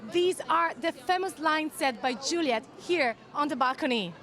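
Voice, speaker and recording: High-voiced woman news reporter. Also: expressive, moderate pace, a bit bright. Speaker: news correspondent. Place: outdoors in a city square.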